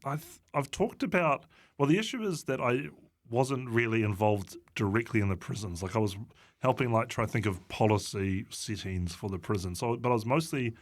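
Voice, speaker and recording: Hesitant New Zealand man, dryly self-deprecating. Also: mid-range voice. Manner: halting, hesitant delivery with long drawn-out pauses. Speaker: podcast guest, comedian. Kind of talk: casual comedic interview chat.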